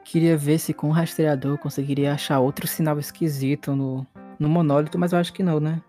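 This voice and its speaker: Slightly high-voiced Brazilian man, casual. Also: fairly even intonation, brisk, dark tone, soft background music. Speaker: player in a tabletop game. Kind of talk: casual chat.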